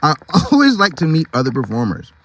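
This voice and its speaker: A man's voice: a little high, wildly swooping pitch, a little fast, fairly bright tone, a little hiss or hum, pitched above the performer's own voice, full character voice. A man voicing a polite, sociable male circus lion in a children's storybook, courteous and formal.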